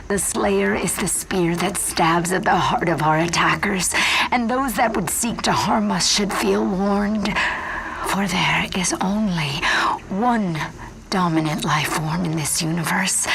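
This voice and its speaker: A slow, creaky, awestruck scientist. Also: female voice. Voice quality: low, creaky, breaking into whispers. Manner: slow, solemn, reverent. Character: weary woman scientist turned reverent believer, solemn log-keeper. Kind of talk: dramatic video game log narration. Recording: clearly noisy log recording.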